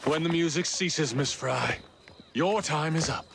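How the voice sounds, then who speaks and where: An actor playing a middle-aged Victorian crime lord in a video game, courtly and condescending, with a theatrical delivery. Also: male voice, a little high, unhurried, vocal fry, background noise. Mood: ominous. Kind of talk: video game cutscene acting.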